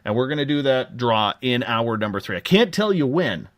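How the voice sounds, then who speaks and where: A man's voice: mid-range voice, big pitch swings, moderate pace, fairly bright tone, no echo, studio quality. Upbeat man hosting radio. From Canada. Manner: upbeat, joking promotional patter. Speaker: radio show host. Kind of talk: live late-night radio talk.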